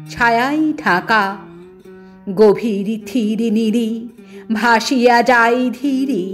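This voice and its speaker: Very slow woman reciting poetry over music. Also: fairly high pitch. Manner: measured, lingering short phrases with deliberate pauses. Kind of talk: expressive Bengali poetry recitation.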